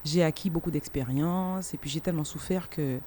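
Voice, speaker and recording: A woman's voice: low-pitched, moderate pace, mellow, slight background noise. A middle-aged woman with a Martinican French accent, speaking casually and emphatically as she tells her own story as an interviewed artist. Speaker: singer and musician interviewed as guest.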